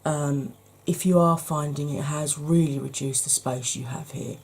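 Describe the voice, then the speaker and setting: A woman with a low, gravelly voice talks through a makeup tutorial, hoarse from hay fever. Her tone is mellow and husky, and she chats casually to the viewer, with plenty of ums along the way. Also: steady tone, measured pace. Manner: chatty, addresses viewer directly, frequent ums.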